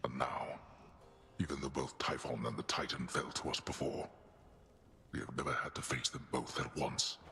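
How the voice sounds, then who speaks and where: An actor playing a regal elder god in a video game, speaking in a formal, solemn, measured way. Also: male voice, rumbling low, fairly even intonation, pushed and bright, exaggerated voice. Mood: grave. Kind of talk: game character dialogue.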